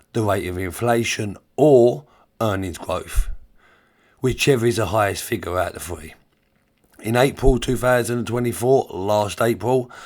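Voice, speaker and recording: A man hosting his own show, sounding croaky and half-hoarse after a flu, talking in a blunt, informal, opinionated way. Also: on the low side. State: croaky from flu, voice partly lost. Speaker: podcast host. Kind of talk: solo commentary on pensions.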